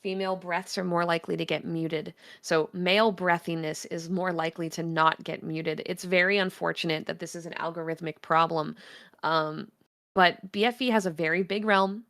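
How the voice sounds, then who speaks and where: A woman with a deep voice presents to a livestream audience. She talks in a chatty, candid way and often pauses with hesitant fillers. Her delivery stays plain and informal. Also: fairly even intonation, fairly bright tone. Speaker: guest presenter.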